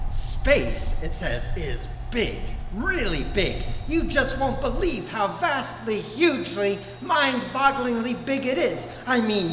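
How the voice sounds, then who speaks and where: A man playing a wry talking guidebook that narrates a live comedy play with emphatic storytelling. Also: high-pitched. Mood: grandly emphatic. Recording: echoey hall, music behind.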